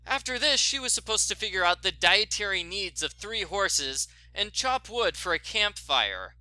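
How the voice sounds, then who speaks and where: Bright, lively male story narrator. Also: high voice, moderate pace, dry recording, low-quality recording. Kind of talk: scripted fan fiction narration.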